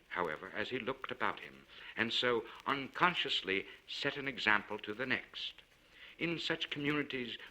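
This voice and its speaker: A man reading a literary story aloud in a measured, formal and expressive way. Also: medium pitch, rough recording. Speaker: audiobook narrator.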